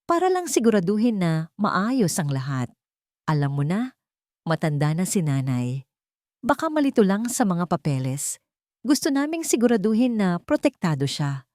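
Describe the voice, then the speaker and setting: A woman's voice: medium pitch, sing-song, moderate pace, a little mellow, dry recording, studio quality, natural voice. A woman voicing a scheming, sweet-talking daughter-in-law in a dramatic story, coaxing and bossy. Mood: falsely earnest.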